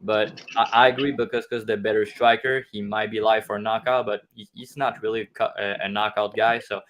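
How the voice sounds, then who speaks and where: Man, low voice. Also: fairly even intonation, a little fast, a bit bright. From Quebec, Canada. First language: French. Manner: explains picks with frequent restarts. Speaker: guest panelist.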